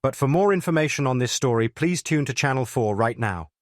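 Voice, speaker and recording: A man reading a scripted emergency announcement as a television continuity announcer, formal and measured. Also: mid-range voice, lively intonation, dry recording, clean studio sound.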